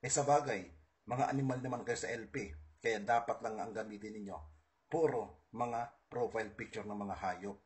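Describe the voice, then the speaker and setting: A man's voice: on the low side, fairly even intonation, normal speed, a little mellow. A man with a Filipino accent, giving an informal, combative monologue to online followers, stressing and repeating his points. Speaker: political video host.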